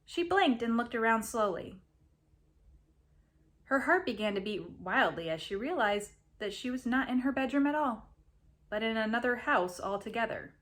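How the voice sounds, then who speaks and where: A woman reading a story aloud as an expressive narrator. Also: a little high, moderate pace. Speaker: reader of a story.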